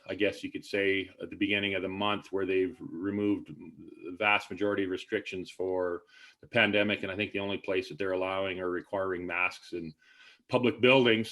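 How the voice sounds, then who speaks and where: Low-voiced man hosting a webinar. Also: fairly bright tone. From Canada. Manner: halting, many fillers and restarts. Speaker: webinar host. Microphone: video call.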